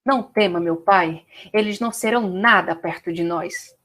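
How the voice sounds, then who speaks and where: A woman's voice: medium pitch, normal speed, fairly bright tone, natural voice. Woman playing a resolute young warrior son. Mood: resolute, earnest. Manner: earnest, resolute. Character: brave young male warrior, dutiful son eager for battle. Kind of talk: audio drama acting.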